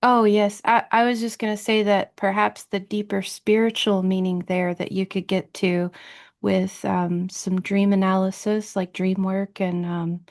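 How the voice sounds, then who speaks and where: A woman's voice: mid-range voice, flat delivery, a little slow, a little mellow. A woman hosting a podcast interview, explaining her ideas in a chatty way and often checking in with "right?". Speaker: podcast host. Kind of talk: conversational interview.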